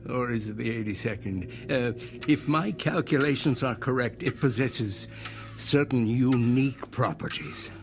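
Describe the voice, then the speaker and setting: An actor playing an eccentric older inventor in a radio drama, speaking in measured, formal, professorial phrases with cryptic, patient persuasion. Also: male voice, mid-range voice, soft background music, natural voice. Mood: quietly insistent.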